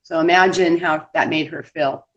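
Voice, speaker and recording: A woman's voice: low-pitched, steady tone, moderate pace, a bit bright. Woman guest with dull lo-fi sound. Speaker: museum tour guide being interviewed. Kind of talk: casual interview talk. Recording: dull lo-fi audio.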